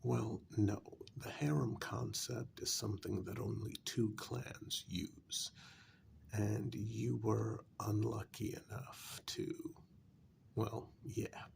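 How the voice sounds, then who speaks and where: Man playing a very slow, gravelly, soft-spoken vampire. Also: fairly low pitch, fairly even intonation, a little hiss or hum, full character voice. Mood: matter-of-fact. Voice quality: soft, gravelly, often near whisper. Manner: slow, deliberate, halting with long pauses. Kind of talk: soft-spoken ASMR role-play monologue.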